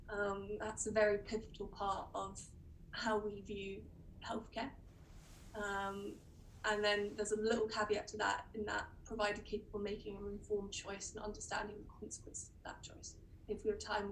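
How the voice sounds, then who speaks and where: A young British woman doctor with a cold, presenting on a webinar in a hesitant way, full of ums and restarts. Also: medium pitch. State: sniffly with a cold. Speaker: doctor giving a webinar talk. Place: indoor room. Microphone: video call webcam. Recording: distant mic with faint background noise.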